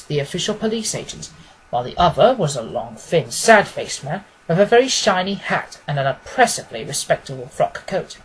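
A man's voice: high-pitched, a little hiss or hum, rough recording. A man narrating a scripted story as an audiobook reader.

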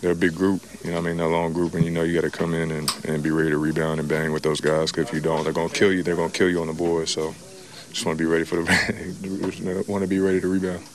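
A young man, a player giving casual postgame answers in a locker room, relaxed, with casual slang and many fillers. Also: low-pitched, quick pace.

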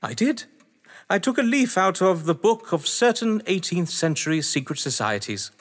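A man's voice: high voice, sing-song, moderate pace. A man acting in an audio drama as a witty, haughty husband under questioning, verbose, sardonic and theatrical. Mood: smug. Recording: echoey hall-like reverb.

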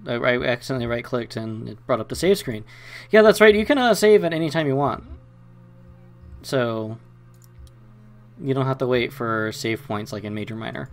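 A man's voice: medium pitch, expressive, normal speed, vocal fry, long pauses. A man hosting a let's play, reading game narration aloud and commenting casually.

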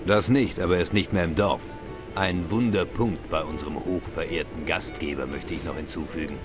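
A male actor voices an older village know-all at the pub in an adventure game. He explains things drily in a lowish, measured voice, with clear music behind him. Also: fairly even intonation, lightly acted. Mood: wry, informative. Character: older, knowledgeable, wry Irish pub regular. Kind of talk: dubbed adventure game dialogue.

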